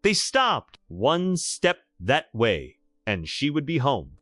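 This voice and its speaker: A man's voice: medium pitch, huge pitch swings, slow pace, fairly bright tone, no echo, clean studio sound, soft background music. A man narrating a scripted romantic drama in a theatrical, emphatic storytelling style.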